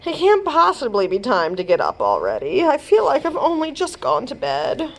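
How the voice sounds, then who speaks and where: A woman's voice: high-pitched, wildly swooping pitch, normal speed, vocal fry, pitched above the performer's own voice, full character voice, rough recording. Lady doing a fed-up fairy godmother from a kids storybook, muttery and grumpy, voice goes up and down a lot. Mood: groaning, reluctant. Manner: muttering, complaining. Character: weary, grumbling fairy godmother, fed up and touchy. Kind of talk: children's storybook read-aloud.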